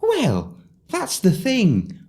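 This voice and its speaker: Mean ugly stepsister from a panto, slow mocking drawl, pitch all over the place. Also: female voice, huge pitch swings, warm tone, reverberant, far from the mic, full character voice. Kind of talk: pantomime audio drama acting.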